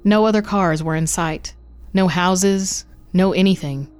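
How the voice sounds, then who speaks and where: Lower-pitched woman narrating horror fiction. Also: unhurried, a little mellow, clear, resonant tone.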